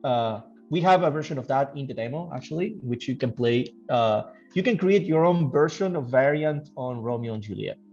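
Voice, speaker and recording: A man who works as a game developer gives casual interview answers, thinking aloud haltingly and repeating phrases. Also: mid-range voice, expressive, darkish tone. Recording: dull lo-fi audio, music behind. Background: background music.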